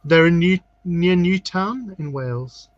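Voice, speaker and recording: Chatty camp drag host, lo-fi sound. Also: male voice, sits high, a little slow, dry recording. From South Africa. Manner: camp, chatty, reads out viewer comments. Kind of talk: casual livestream chat. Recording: dull lo-fi sound, dry.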